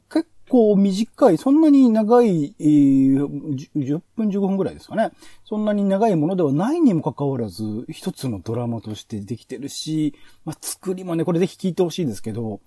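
A man's voice: fairly high pitch, mellow, husky, no room sound. A man hosting a podcast, giving conversational review commentary in an analytical, explanatory way. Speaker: podcast host.

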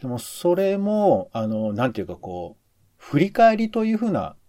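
A man's voice: a little high, huge pitch swings, normal speed, mellow, no echo, studio quality. A man co-hosting a casual radio-review podcast, reflectively piecing his thoughts together in short phrases. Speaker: podcast co-host. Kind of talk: casual discussion of radio shows.

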